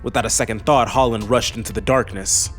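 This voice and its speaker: A man's voice: mid-range voice, steady tone, a little fast, fairly bright tone. A man reading scripted fantasy narration as an audiobook narrator. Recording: faint music under the voice.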